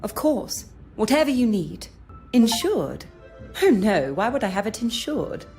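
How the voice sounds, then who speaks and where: A woman's voice: a little high, big pitch swings, unhurried, a little mellow, faint music behind. A woman playing a proud, self-assured genteel young lady, polite but assertive, in scripted game dialogue. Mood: firm, proud.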